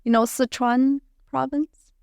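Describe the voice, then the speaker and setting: A woman in her thirties from China with a Chinese accent, speaking as a translator guest in a casual interview, hesitant with many fillers and soft laughs. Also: high-pitched, steady tone, measured pace, vocal fry, no echo, clean studio sound.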